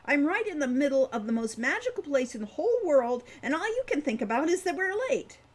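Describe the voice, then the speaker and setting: A woman voicing an impulsive, excitable young girl who talks eagerly and pushes her point. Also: high voice, normal speed, voice pushed up. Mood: annoyed. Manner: bubbly, eager, pushy.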